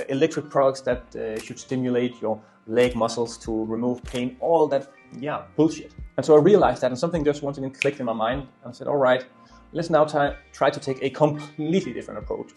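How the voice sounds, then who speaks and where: A man's voice: mid-range voice, a little mellow. A man in his twenties with a Danish accent and native Danish, telling his story casually to viewers in an earnest, emphatic way, repeating words for stress. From Denmark. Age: 20s. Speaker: YouTuber and e-commerce entrepreneur. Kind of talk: casual storytelling talk to camera.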